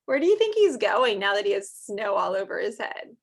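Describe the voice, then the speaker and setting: A woman's voice: medium pitch, very animated, fairly quick, gravelly. A woman reading aloud to young children like a teacher, drawing out the story words expressively and adding chatty asides and questions.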